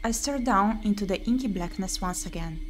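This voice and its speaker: A woman's voice: mid-range voice, fairly quick. A woman streamer playing a visual novel, reading the game text aloud expressively with her own commentary. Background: game music.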